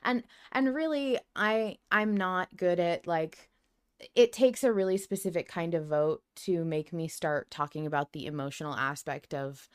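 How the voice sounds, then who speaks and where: A woman's voice: on the low side, measured pace, clean tone. A woman co-hosting a podcast in casual, opinionated chat, blunt and emphatic, swearing freely. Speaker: podcast co-host discussing a fiction series.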